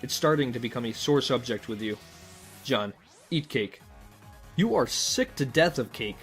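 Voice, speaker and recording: Casting: male narrator for a comic adventure, mock-formal and wry, medium pitch, music bed underneath. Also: expressive, normal speed. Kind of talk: scripted comic narration in second person.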